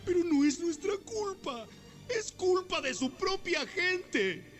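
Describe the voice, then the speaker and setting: A very high, wailing slave foreman. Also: expressive, takes it slowly, fairly bright tone, faint music behind, full character voice. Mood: pleading. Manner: plaintive, wailing delivery. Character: anguished, aggrieved Israelite slave foreman, pleading. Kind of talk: dramatized audio Bible acting.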